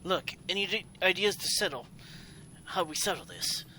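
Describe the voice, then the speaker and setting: A man's voice: high-pitched, lively intonation, normal speed, bright, forceful tone, a little hiss or hum, rough recording. A man playing through a role-playing game, acting out his character's dialogue choices as he goes.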